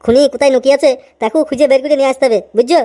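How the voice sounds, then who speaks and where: Man playing a high, fast-talking stern cartoon detective. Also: fairly even intonation, warm tone. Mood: scolding. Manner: scolding, bossy. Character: stern, sceptical male senior detective in a cartoon. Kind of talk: amateur comedy cartoon dub.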